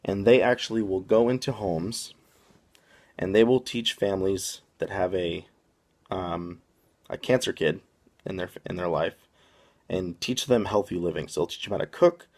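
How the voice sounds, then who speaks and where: A man from the Boston area hosts a casual podcast with a fairly low, gravelly voice. He rambles as he goes and fills his pauses with plenty of "um"s. There is a rough fry at the bottom of his voice. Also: a little mellow. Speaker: podcast host.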